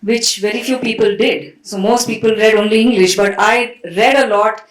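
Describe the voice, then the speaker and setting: A woman's voice: medium pitch, steady tone, moderate pace, echoey room, rough recording. A woman from India who grew up speaking Tamil, telling her story on a festival panel in a conversational, reflective way. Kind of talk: panel discussion. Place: festival stage hall.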